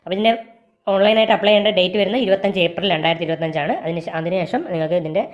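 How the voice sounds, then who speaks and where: A woman with a Malayali accent from Kerala explains details at a rapid clip. Her voice sits a little low and her delivery is matter-of-fact, like an informational briefing. The sound is somewhat dull and lo-fi. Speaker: presenter of job vacancy videos.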